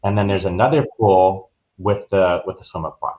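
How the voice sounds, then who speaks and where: Low-voiced man, slightly dull recording. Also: fairly even intonation, moderate pace. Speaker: podcast guest, travel agent. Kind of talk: casual conversational recounting.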